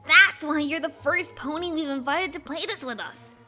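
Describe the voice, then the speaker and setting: A woman's voice: high-pitched, moderate pace, bright, forceful tone, soft background music, voice pushed up. A woman voicing a brash, upbeat young tomboy filly pony with an eager manner in a storybook reading. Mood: insistent.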